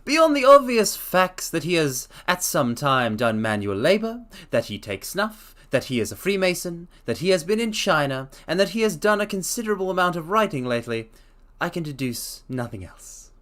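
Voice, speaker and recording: A man's voice: fairly high pitch, very animated, normal speed, a bit bright, voice pushed up. A man voicing a confident male Victorian detective who speaks in a precise, lecturing way. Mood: assured.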